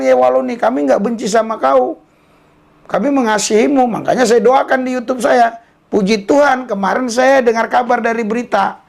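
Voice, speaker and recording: Male YouTube commentator, creaky, slightly high voice, teasing and emphatic, with a preacher's streak. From Indonesia. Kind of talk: casual monologue commentary with preaching.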